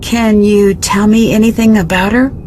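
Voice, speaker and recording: An actress playing a polite, direct young amateur detective in a video game, with an American accent and an inquisitive manner. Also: female voice, medium pitch, steady tone, moderate pace, a little mellow, a little hiss or hum, natural voice. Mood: curious. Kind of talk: video game character dialogue.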